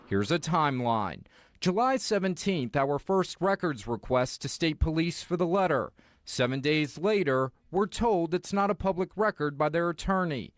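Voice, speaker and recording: A man's voice: a little high, expressive, moderate pace, no echo, clean studio sound. A man with an American accent reading a scripted investigative news report as a TV reporter.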